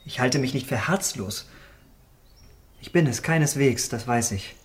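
An actor playing a vain, impulsive, romantic young gentleman in a literary radio drama, earnest and theatrical in manner. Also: medium pitch, a little slow, close to an everyday voice. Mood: dazed reflection. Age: young adult.

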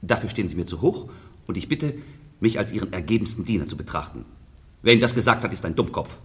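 An actor playing a suave courtier with exaggerated, false politeness in a classic radio comedy. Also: male voice, low-pitched, lively intonation, quick pace. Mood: affronted. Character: smooth, falsely polite courtier, a gallant gentleman seducer. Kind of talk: German radio play of classic stage comedy.

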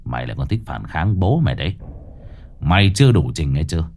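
A guy putting on a deep bossy exorcist, crude and cocky, storybook villain-hero vibe. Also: big pitch swings, fast talker, darkish tone, a little hiss or hum, full character voice. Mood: commanding. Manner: gruff, commanding, crude. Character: stern arrogant male ghost-exorcist master.

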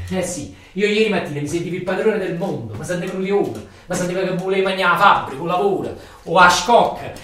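A man's voice: high-pitched, close to an everyday voice. A man with a Neapolitan accent playing an embittered, rambling factory worker in a theatrical monologue, colloquial and repetitive. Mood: boastful, agitated. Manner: colloquial, repetitive, ranting and muttering. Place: echoey room or hall, far from the mic. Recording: echoey, distant stage recording with faint noise.

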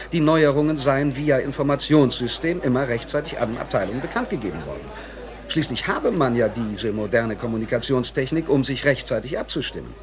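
An actor playing a male company employee telling a story with measured, dry irony in a radio drama monologue. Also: medium pitch. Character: dryly ironic male company employee recounting office absurdities.

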